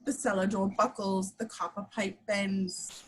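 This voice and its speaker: A woman's voice: medium pitch, little pitch movement, unhurried, a little hiss or hum, low-quality recording. A woman reading action descriptions as the narrator of a scripted table read.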